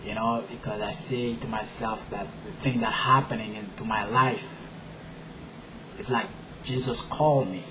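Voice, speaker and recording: A man from Haiti with a Haitian accent, telling his faith story to his viewers in a rambling way with many fillers, moving from soft and halting to loud and forceful. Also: lowish voice, steady tone, background noise. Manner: rambling, many "you know" fillers, quiet at first and growing loud and emphatic. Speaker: video blogger. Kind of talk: personal testimony to camera.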